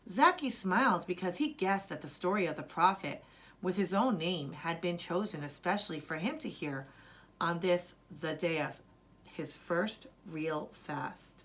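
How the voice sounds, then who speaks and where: A woman narrating a children's story as a storyteller, with deliberate, expressive delivery. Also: on the low side.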